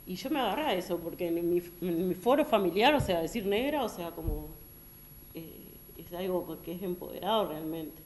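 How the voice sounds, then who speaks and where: A woman's voice: lowish voice, a little mellow, a little hiss or hum. A woman panelist who works in early-childhood education, answering thoughtfully in a discussion. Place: echoey hall. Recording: echoey hall.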